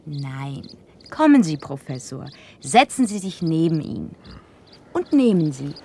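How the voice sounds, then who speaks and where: A calm, coaxing nurse in a radio play. She gives simple instructions slowly in a low voice with lively swings, over faint noise. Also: exaggerated voice. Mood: gently instructing. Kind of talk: German science-fiction radio drama acting.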